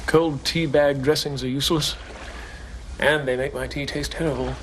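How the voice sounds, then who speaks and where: A man's voice: on the high side, moderate pace, a little hiss or hum, lightly acted, low-quality recording. A man playing a stammering stranded rower. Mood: deadpan. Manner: dry, deadpan diary reading. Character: foolhardy man rowing alone, stranded, stiff upper lip.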